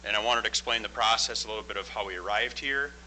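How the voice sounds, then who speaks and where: A middle-aged man giving a plain-spoken project presentation at a public board meeting, loud and full of fillers. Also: fairly low pitch, fast talker, slight background noise, poor audio. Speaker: construction project manager presenting. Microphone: microphone.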